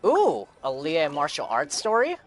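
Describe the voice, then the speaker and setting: A male game streamer with a somewhat high-set voice for a man, chatting casually as he reacts live. Faint game music plays underneath. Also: wildly swooping pitch, unhurried.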